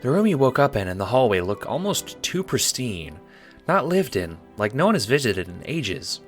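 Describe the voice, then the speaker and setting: A man's voice: medium pitch, very animated, normal speed, soft background music. A man reading scripted second-person narration for an audio drama.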